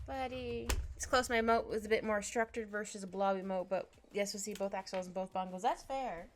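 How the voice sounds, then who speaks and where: A woman's voice: mid-range voice, fairly quick. A young woman with a slightly stuffy nose, chatting casually on a livestream while she draws, talking to her pet and reading chat aloud. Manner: chatty, giggly, talks to her cat and reads chat aloud. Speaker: streamer drawing live. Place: small room at home. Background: faint background music.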